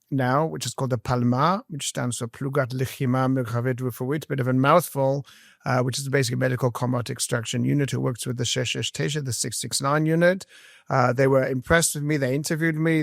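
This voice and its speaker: A man's voice: medium pitch, steady tone, quick pace, creaky, dry recording, clean studio sound. A man in his fifties with a mix of English and South African accent, telling his story in an interview, earnest and full of fillers. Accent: mixed English and South African. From South Africa and England. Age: 50s. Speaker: guest, army medic and educator.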